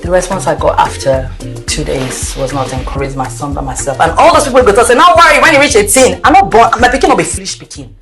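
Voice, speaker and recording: Nigerian woman, mother, low-ish voice, earnest personal account with pidgin. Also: animated, fast talker, soft background music. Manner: earnest, mixes pidgin into English. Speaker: mother telling her story.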